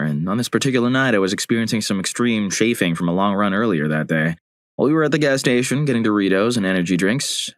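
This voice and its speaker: A man's voice: fairly low pitch, dry recording. Dry, wry male story narrator. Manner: wry, deadpan asides after each story. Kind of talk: reading story submissions aloud with short quips.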